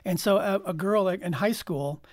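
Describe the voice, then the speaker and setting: Male guest, notably high voice, halting casual storyteller, dry studio-close sound. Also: a little mellow, no echo. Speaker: guest, audio drama writer.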